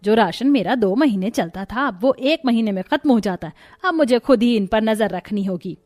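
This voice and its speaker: A woman's voice: fairly high pitch, expressive, moderate pace, a little mellow, no room sound, studio quality, close to an everyday voice. A woman voicing a stingy, cunning middle-aged mother-in-law in a cartoon story, scolding and accusing in a nagging manner. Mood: suspicious grumbling. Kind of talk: Hindi cartoon moral-story dialogue.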